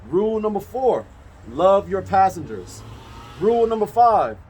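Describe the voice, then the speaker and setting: A man with a high-pitched voice reads a children's story aloud. He is expressive and stresses key phrases like a teacher. Faint noise runs through the recording. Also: measured pace.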